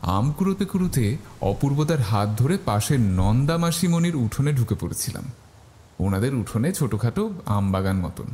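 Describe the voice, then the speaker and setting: A man's voice: medium pitch, very animated, moderate pace, mellow, slight background noise. A man narrating a scripted first-person horror story. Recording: faint music behind the voice.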